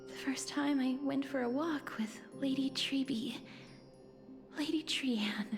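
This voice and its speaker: A woman playing a gentle, wistful young noblewoman in a video game, speaking softly and formally with a breathy tenderness. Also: sits high, slow pace. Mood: wistful, tender. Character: gentle, wistful, soft-spoken young noblewoman, quietly earnest. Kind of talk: video game voice acting, reflective monologue. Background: game music.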